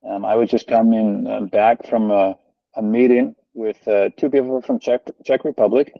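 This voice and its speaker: A low-voiced American man talks on a video call, earnest and rambling with plenty of ums. The audio is dull and lo-fi, with a dry, close sound and no room echo. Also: fairly even intonation, normal speed, creaky. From United States. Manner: rambling, filler-heavy, earnest. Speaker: guest, founder of a social organization. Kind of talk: casual conversational interview. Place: home, family moving about behind him.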